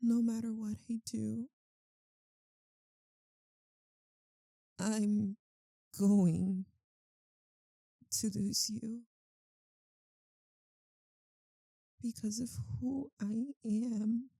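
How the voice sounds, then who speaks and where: Woman playing a high, slow-spoken anguished vampire lover. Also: steady tone, vocal fry, mellow, no echo, clean studio sound, full character voice. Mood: pleading, tearful. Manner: halting, intimate, pleading delivery. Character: anguished vampire lover, tender, guilt-ridden, female. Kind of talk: ASMR roleplay.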